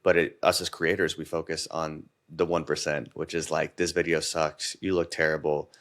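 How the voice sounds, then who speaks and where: Deep-voiced man, relaxed podcast guest. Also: moderate pace. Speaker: podcast guest, content creator. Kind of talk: casual conversation.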